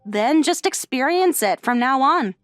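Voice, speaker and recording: A sincere, sheltered high school girl heroine played by a woman with a high, lilting voice. She is composed and polite, in an anime comic dub style, recorded close and dry. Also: animated, a little slow, no echo, faint music behind. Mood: cool, matter-of-fact.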